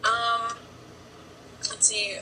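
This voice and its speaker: Female interviewer, bright tone, meandering questions, set back from the mic with faint hum. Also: fairly high pitch, level tone, extremely slow, distant mic, rough recording. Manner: rambling, lots of likes.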